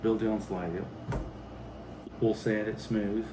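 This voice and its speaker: A man's voice: fairly low pitch, flat delivery, slow, background noise. A man with an American accent chatting casually on a homestead vlog in a plainspoken, folksy way. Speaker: homesteading video host.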